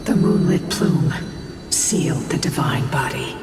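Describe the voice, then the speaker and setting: An actor playing a solemn, stern ancient warrior spirit in a fantasy video game, speaking gravely and formally. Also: mid-range voice, lively intonation, a little slow. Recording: very echoey distant hall, loud music behind.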